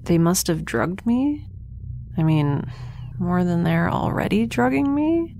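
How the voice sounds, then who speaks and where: A woman's voice: fairly low pitch, sing-song, takes it slowly, gravelly, dark tone, slight background noise, full character voice. An actress playing a weary, sardonic young woman held captive in space in an audio drama, with a wry, rambling manner. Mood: groggy, puzzled. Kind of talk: audio drama monologue and dialogue.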